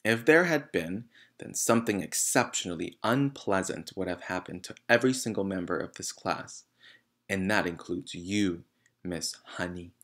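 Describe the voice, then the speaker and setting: A thunderous storybook headmistress, acted out by a man. She barks and shouts like a tyrant, full of scorn and menace for those beneath her. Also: sits low, moderate pace. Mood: menacing. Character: bullying, thunderous headmistress, a huge tyrannical woman.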